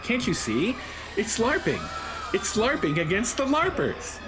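An extremely animated male voice, a man acting as an eager game designer hero. He is optimistic and reassuring in a comic radio play style, with music playing behind him. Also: high voice, measured pace, poor audio. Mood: excited.

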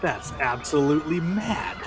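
A man's voice: a little high, expressive, unhurried. A man playing a panicky, exasperated and sceptical game designer sidekick in a comic radio play. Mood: exasperated disbelief. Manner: incredulous, fretful.

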